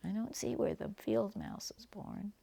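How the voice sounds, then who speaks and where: An older woman reading a picture book aloud to children like a grandmother, phrasing things deliberately and chatting about the pictures. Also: mid-range voice, big pitch swings, vocal fry, warm tone, slight background noise. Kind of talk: read-aloud storytelling with chatty asides and animal sounds.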